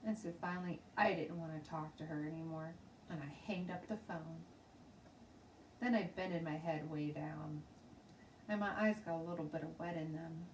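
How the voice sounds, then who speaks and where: A woman teacher narrating a children's storybook aloud in an expressive, dramatic way. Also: low voice, normal speed. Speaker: teacher reading stories aloud. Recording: roomy, distant mic, faint background noise.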